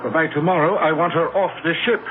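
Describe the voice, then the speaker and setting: Actor as haughty shipowner, higher-pitched, old radio. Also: male voice, fairly even intonation, fast talker, lightly acted. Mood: indignant, insistent. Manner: formal, imperious. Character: haughty male shipowner, indignant and insistent. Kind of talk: 1970s radio drama acting. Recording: noisy lo-fi old radio broadcast.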